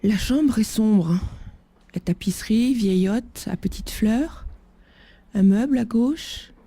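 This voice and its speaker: Slow, very mellow, breathy woman. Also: on the high side, no echo. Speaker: narrator describing a photograph. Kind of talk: reflective descriptive narration.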